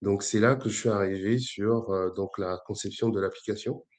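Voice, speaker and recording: A man's voice: lowish voice, steady tone, moderate pace, a little mellow. A man in his forties from Congo-Brazzaville, speaking as an interview guest in a hesitant, conversational way full of fillers and restarts. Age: 40s. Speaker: entrepreneur guest.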